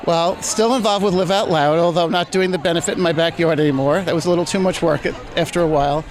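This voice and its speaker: A man's voice: a little high, steady tone, fast talker. A man who supports a local theater, talking plainly about it in a red-carpet interview outdoors at a gala. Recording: loud crowd chatter behind.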